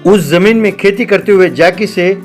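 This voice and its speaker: A man's voice: high-pitched, normal speed, soft background music. A man reading scripted narration for a comedy story as its narrator.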